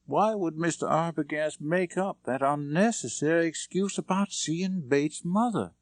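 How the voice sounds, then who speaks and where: A man's voice: a little high, unhurried, dry recording, pitched above the performer's own voice, close to an everyday voice. A man voicing a polite but doubtful young woman in an audiobook, earnest and questioning. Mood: doubtful, resigned.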